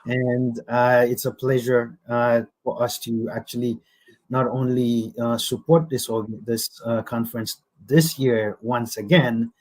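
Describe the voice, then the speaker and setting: Man, middle-aged, from Haiti, flat delivery, hesitant, interview guest. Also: medium pitch, a little slow, rough recording. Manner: hesitant, many fillers and restarts. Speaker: guest, nonprofit executive director. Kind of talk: interview answers.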